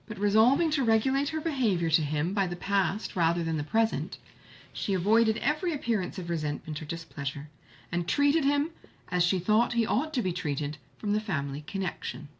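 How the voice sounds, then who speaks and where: Woman reading a novel, lively pitch. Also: normal speed, slightly rough, low-quality recording. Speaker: audiobook reader. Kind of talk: expressive scripted literary narration.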